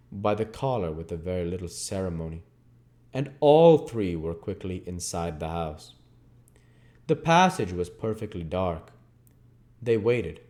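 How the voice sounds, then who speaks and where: A man reading a story aloud as channel host, in expressive narration with deliberate, measured phrasing. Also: deep voice. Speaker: audiobook reader and channel host.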